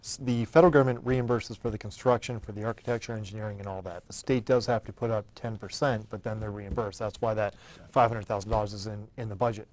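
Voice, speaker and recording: A man who is a state senator, talking quickly and forcefully about a bill he is pushing on his own talk show. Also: fairly low pitch, fairly even intonation, rough recording. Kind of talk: public affairs talk show.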